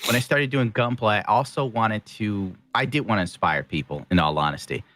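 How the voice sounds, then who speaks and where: A man's voice: lowish voice, a little fast, fairly bright tone. A man guest on a hobby podcast, talking casually with blunt, profane jokes. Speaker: guest painter and video maker. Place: small room.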